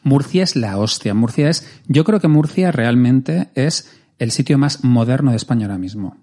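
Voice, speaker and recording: A man's voice: mid-range voice, animated, moderate pace, warm tone. A man from Madrid hosting a casual chat, cheeky and playful, using plenty of slang. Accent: Madrid Spanish. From Spain. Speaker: host.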